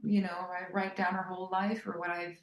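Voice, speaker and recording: German-accented woman, low voice, echoey room. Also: little pitch movement, a little fast. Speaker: actor. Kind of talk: press junket interview.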